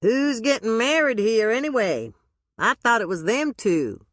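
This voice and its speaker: A woman voicing a man doing a bad Southern Elvis impression, loud and drawling in a put-on Southern US accent. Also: mid-range voice, big pitch swings, unhurried, dry recording, studio quality, voice pushed up. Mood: boisterous.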